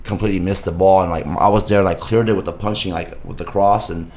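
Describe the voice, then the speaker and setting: A man in casual conversation, a former goalkeeper from California, telling relaxed, rambling stories with frequent laughter. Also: deep voice, fast talker. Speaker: guest, former soccer goalkeeper. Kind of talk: casual chat.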